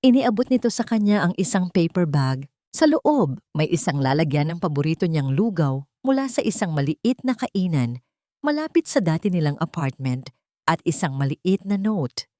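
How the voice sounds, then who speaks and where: A woman with a Filipino accent narrating a scripted drama story in measured, emphatic phrases. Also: lowish voice, very animated, mellow, no room sound, studio quality.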